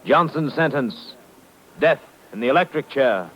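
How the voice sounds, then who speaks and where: A man's voice: mid-range voice, expressive, a little slow, loud music behind the voice. A man reading formal, declarative narration for a scripted 1950s radio drama. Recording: muffled old radio recording, crowd-like background.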